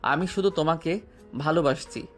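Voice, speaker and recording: A man playing a tender, persistent young student in love, speaking softly and earnestly in an audio drama. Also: on the high side, normal speed, faint music behind, lightly acted. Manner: soft, earnest, romantic delivery.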